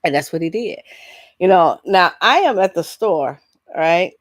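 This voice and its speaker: A woman with a lowish voice talks casually as a vlog host. She gives blunt opinions in a rambling, stop-and-start way, often breaking off into asides. The sound is dry and close, with very little room to it. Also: animated, dry recording. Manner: halting, rambling, interrupts herself with asides; blunt, incredulous rhetorical questions. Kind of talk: casual opinionated entertainment news commentary.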